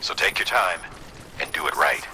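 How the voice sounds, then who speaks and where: Distant, echoing commander. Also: male voice, very deep voice, bright, forceful tone, a little hiss or hum, heavily acted. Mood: firm, reassuring. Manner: measured, instructive. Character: steady, authoritative male commander guiding his operative. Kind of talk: video game mission briefing. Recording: echoey, distant, reverberant, music behind.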